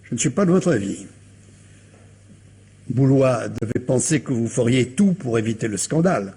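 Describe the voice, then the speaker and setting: An actor playing a shrewd, insistent police commissioner who questions a suspect with courteous, insinuating pressure, in a French radio crime drama. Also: male voice, medium pitch, expressive, moderate pace, dark tone, husky. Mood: insinuating, pressing.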